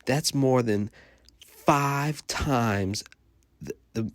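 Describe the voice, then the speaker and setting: Slow-paced man reading aloud to children. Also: medium pitch, no echo, clean studio sound. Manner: simple, explanatory, addressing children with questions. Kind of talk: children's picture book read-aloud with simple asides.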